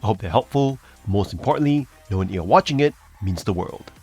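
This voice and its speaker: A man hosting a review video, reading a scripted narration in a polished, measured presenter's delivery. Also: lowish voice, lively intonation, darkish tone, breathy edge, soft background music.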